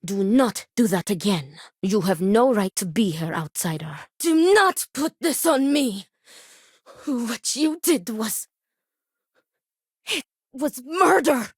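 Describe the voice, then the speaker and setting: Stern, formal, dutiful game character. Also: female voice, on the high side, animated, slow, slightly rough, dry recording, clean studio sound, full character voice. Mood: cold, hostile, then alarmed, angry. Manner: formal, precise, clipped phrasing without contractions. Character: stern, formal, dutiful woman overseeing a family duel. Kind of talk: scripted video game character dialogue.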